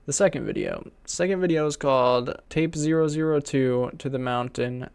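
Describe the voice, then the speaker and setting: Man, medium pitch, casual reaction host, conversational commentary, faint noise behind. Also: sing-song, moderate pace, vocal fry.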